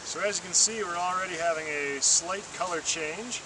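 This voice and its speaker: Higher-voiced man teaching outdoors, loud water noise. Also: moderate pace. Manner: patient, step-by-step, encouraging. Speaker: water-quality field trainer. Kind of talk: hands-on instructional demonstration. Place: outdoors beside flowing water. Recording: distant mic, loud outdoor stream noise.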